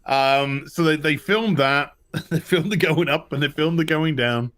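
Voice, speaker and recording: British guy recapping tv on a chat show, higher voice, really bright n forceful, kinda rambly. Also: a little slow. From United Kingdom. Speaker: co-host of a TV review show.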